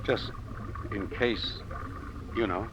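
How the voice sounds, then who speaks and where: A man's voice: on the low side, slow pace, muffled recording. Anxious doomed man, noisy old radio. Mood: resigned, somber. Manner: earnest, theatrical, apprehensive. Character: nervous brave ship's man facing death. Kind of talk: old-time radio drama acting.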